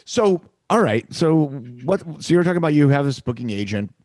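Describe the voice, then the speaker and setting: A man's voice: a little high, sing-song, a little fast, a little mellow, no room sound. A man hosting a music podcast, telling stories and asking questions with chuckles and frequent restarts. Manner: storytelling, chuckling, restarts often. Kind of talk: casual interview chat. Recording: dry close mic.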